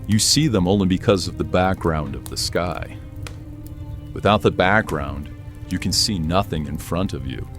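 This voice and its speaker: Guy doing a brooding old-world french warrior, low and slow, fatalistic, story drama w/ music under it. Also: natural voice. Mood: philosophical, reflective. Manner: slow, deliberate, reflective.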